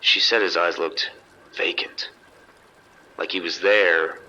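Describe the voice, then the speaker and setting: A man playing a seasoned, unrattled casino security chief giving measured, detailed reports over a two-way radio in an audio drama. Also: low-pitched, steady tone, sharp tone, full character voice. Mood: grave, composed. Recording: dull, noisy two-way radio sound.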